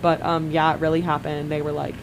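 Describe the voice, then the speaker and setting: Giggly young woman with a deep voice. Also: level tone, brisk, a little hiss or hum, low-quality recording. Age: young adult. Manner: giggly, laughs often between words. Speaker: college radio co-host. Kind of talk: casual chat. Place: radio studio. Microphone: studio mic with headphones.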